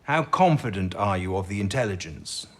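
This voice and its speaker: An actor with a British accent playing a stubborn, ailing wartime codebreaker in a radio drama, husky, terse and blunt. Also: male voice, low voice, expressive, moderate pace, a bit bright. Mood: insistent, probing.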